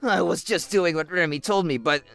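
A woman voicing a greedy, cowardly male in-law in an animated story. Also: lowish voice, normal speed, vocal fry, soft background music. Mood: pleading, sheepish.

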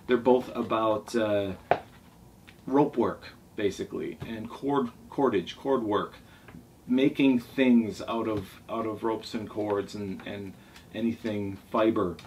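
A middle-aged man with a fairly deep voice hosts a casual vlog from a table in a small room. He rambles along with enthusiasm. The mic sits well away from him and picks up some background noise. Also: fairly even intonation, unhurried. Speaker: sailing vlog host. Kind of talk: casual talk reviewing favourite books. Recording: distant mic, noisy room ambience.

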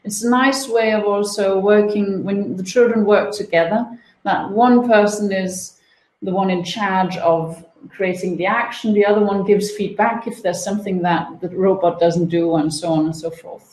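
A woman's voice: medium pitch, normal speed. Woman teaching in a roomy echo. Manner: relaxed, explanatory, uses playful comparisons. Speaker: webinar presenter. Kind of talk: online teaching demo. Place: home room, indoors. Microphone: laptop webcam video call.

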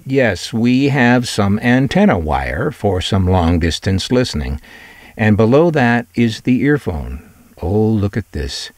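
A man's voice: on the low side, very animated, slow, a little mellow, no echo. A man presenting a collector's showcase in scripted narration, with wry, conversational asides. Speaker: presenter of vintage radio collection videos.

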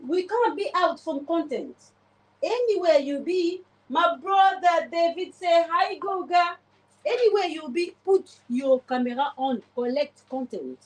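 A woman's voice: extremely high pitch, expressive, unhurried, rough recording. A woman in her forties chatting casually on a livestream while she cleans her oven, greeting viewers by name. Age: 40s. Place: home kitchen, indoors. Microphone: phone camera pointed at the oven.

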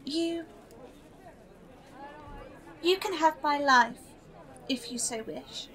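Young girl adult actress: high-pitched, unhurried, earnest and repentant, sweetly polite audio drama role. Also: a bit bright, some chatter behind, heavily acted. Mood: earnest, penitent.

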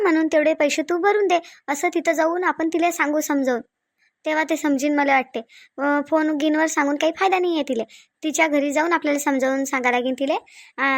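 Woman acting a high-pitched, insistent village sister-in-law. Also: creaky. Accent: rural Vidarbha Marathi. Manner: insistent, repetitive, reasoning. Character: sensible, protective village sister-in-law calming a quarrel. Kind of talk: amateur Marathi village family drama.

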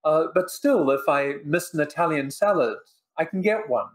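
A man's voice: fairly high pitch, animated, poor audio. Older man, reflective author on a video call. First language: Polish. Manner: measured, reflective, many "uh" and "um" pauses. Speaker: author and professor, guest. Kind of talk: thoughtful interview answers. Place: living room in front of bookshelves. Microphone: video call webcam.

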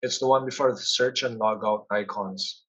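Husky man narrating a software tutorial. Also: fairly low pitch, fairly even intonation, normal speed, low-quality recording. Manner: step-by-step explanatory. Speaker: software demo presenter. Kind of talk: instructional screen-share narration.

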